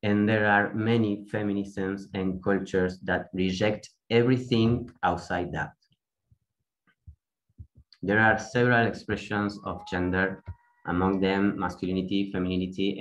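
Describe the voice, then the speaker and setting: Young adult, Argentine Spanish accent, Spanish native speaker, deep low voice, deliberate earnest delivery, video call from a home room. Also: little pitch movement, low-quality recording. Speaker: activist speaker. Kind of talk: prepared conference talk. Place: small home room with bookshelves. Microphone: earphone mic on a video call.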